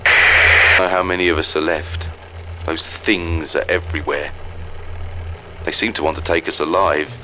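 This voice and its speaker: A man playing a frightened, bewildered colony survivor recording a game audio diary in short, halting statements. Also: rumbling low, steady tone, background noise.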